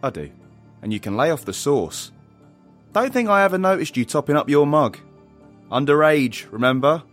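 An actor playing a blunt, bossy rebel in an audio drama, with a brisk, plain-spoken manner. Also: male voice, on the low side, sing-song, husky, soft background music. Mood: gruffly teasing. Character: blunt, bossy outdoor rebel planning a strike.

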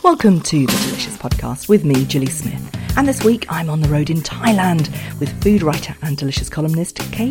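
A woman hosting a podcast, narrating and interviewing. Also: low-pitched. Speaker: podcast host.

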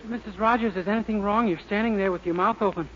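A man's voice: high voice, steady tone, quick pace, fairly bright tone. An actor playing a naive, good-natured young man, boyish and earnest, in a 1940s radio sitcom. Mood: earnest, puzzled. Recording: very noisy, muffled 1940s radio recording.

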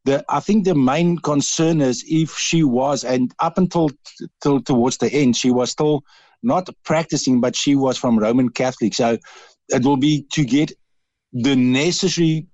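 Male caller with a South African accent, earnest and halting. Dry, slightly muffled line. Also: mid-range voice, a little mellow, no echo. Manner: earnest, halting, with frequent restarts. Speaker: radio caller. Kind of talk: radio interview.

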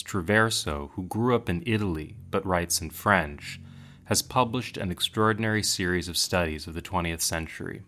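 Deep voiced guy hosting a podcast, reading out a careful formal intro. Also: sharp tone. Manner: measured, formal. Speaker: podcast host. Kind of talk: scripted introduction.

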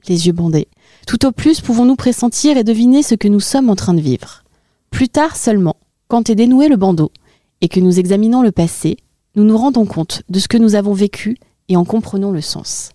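A woman hosting a podcast, reading a clear introduction and asking interview questions. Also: mid-range voice, warm tone, no room sound, studio quality. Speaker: podcast host.

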